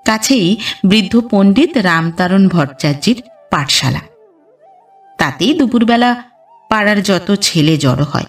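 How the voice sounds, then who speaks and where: A woman reads a literary story as a scripted audiobook narration, in a measured storytelling delivery. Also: mid-range voice, lively intonation, distant mic. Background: background music.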